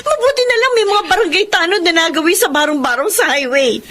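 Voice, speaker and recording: A woman's voice: extremely high pitch, expressive, fast talker, bright, forceful tone, heavily acted. A woman playing a long-suffering, scolding daughter, earnest and emotional, in a Tagalog radio drama. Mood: tearful, reproachful.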